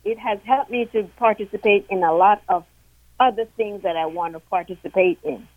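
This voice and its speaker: A woman from Jamaica, a guest on a radio show, calmly telling a personal story. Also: medium pitch, normal speed, dry recording. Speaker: guest, board member. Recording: tinny phone line.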